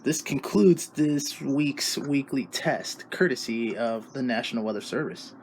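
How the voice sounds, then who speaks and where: A man's voice: mid-range voice, a little slow, poor audio. Casual man hosting a hobby vlog, faint noise. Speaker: video host, radio hobbyist.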